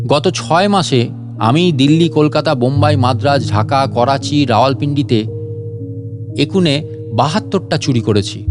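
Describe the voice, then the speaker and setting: A man's voice: medium pitch, natural voice. A man doing a stern, boastful burglar. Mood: angry boasting. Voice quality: stern voice, hacking cough. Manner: scolding, bossy, threatening. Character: boastful, irritable male burglar mistaken for a ghost.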